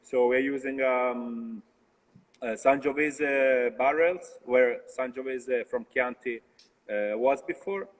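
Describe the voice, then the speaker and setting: A man's voice: mid-range voice, big-room sound. A young man with an Italian accent, a brewer talking casually in an interview over a video call, hesitant and full of fillers and restarts. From Italy. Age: young adult. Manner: halting, many fillers and restarts. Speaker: brewer, guest.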